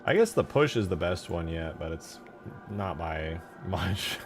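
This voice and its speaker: A man's voice: sits low, expressive, unhurried, background noise. A man talking casually on a livestream as he works on a game mod, informal and half muttering to himself. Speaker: game modder streaming his work. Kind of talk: casual livestream chat while working. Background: background music.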